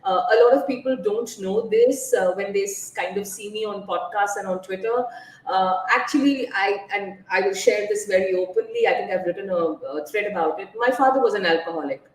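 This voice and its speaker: A woman's voice: medium pitch, a little fast, far from the mic. This is a woman speaking as a podcast guest, sharing her own story in a loose, conversational way. She hesitates a lot, with many "uh"s and restarts. Her voice sits back from the microphone in an echoey room. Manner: open and personal, with frequent "uh" fillers and restarts. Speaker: nutrition guest. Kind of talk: casual interview conversation.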